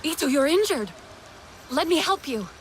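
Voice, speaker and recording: An actress playing an earnest, caring young alien heroine in a video game, with a gentle and direct way of talking. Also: female voice, sits high, expressive, moderate pace, slight background noise. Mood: concerned, eager. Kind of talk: video game character acting.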